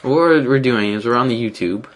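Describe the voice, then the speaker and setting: A man chatting casually, asking teasing deadpan questions. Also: mid-range voice, brisk. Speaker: co-host. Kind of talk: casual chat.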